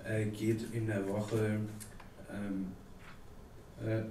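A man's voice: lowish voice, drawn-out words. Man in his 30s, Turkish native speaker, slam poet, dry satirical read, roomy live stage sound. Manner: deadpan satirical delivery, chatty asides with fillers. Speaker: poetry slam performer. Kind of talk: reading a comic slam poem. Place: theatre stage. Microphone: stand mic. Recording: echoey hall from a distance, audible noise. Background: audience laughter.

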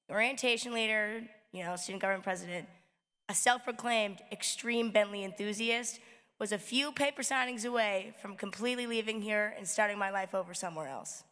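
Hoarse young woman, raspy, chatty student speech, long reverb of a big hall. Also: mid-range voice. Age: young adult. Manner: casual, chatty, lively gestures. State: hoarse from screaming. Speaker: student government president. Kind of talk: welcome speech. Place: large arena, indoors. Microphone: lectern mic.

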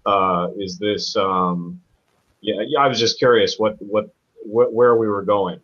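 A man asking questions on a video call, halting and repeating himself as he speaks. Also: on the low side, a bit bright, lo-fi sound.

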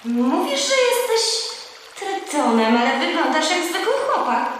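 Caring young girl, high lively voice, earnest, inquisitive, children's audio drama. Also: unhurried, heavily acted. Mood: curious. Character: young girl, friendly, curious, caring, polite. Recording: echoey, reverberant cave effect, faint noise.

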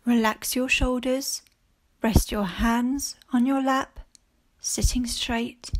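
A woman teacher leading a guided mindfulness body scan for children, speaking calmly and gently in short phrases with long pauses. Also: on the high side, background noise. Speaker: primary school teacher leading a guided meditation.

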